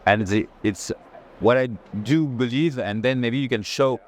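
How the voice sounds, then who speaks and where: Man, mid-pitched, art tour guide in live conversation, searching for words. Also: a little slow. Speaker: curator guiding a fair tour. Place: art fair booth.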